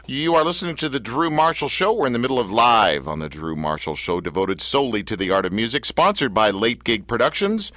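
A man's voice: mid-range voice, expressive, a little fast, hardly any pauses, a bit bright, no room sound. A man hosting a radio talk show in a studio, joking and easily wound up as he interviews his guest.

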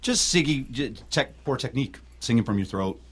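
A man from Canada with a gravelly, loud, projecting voice, chatting as a musician guest in a radio studio. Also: mid-range voice, animated, moderate pace. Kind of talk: casual interview chat.

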